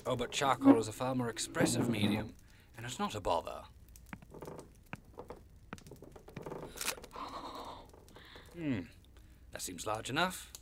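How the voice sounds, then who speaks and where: A man's voice: medium pitch, wildly swooping pitch, normal speed, creaky, very noisy. A man playing a proud, refined old monster in an audio drama, speaking formally and with condescension. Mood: courteous. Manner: formal, condescending, stern when offended, savours words. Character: proud, refined old cryptid creature, macabre bone-carving artist.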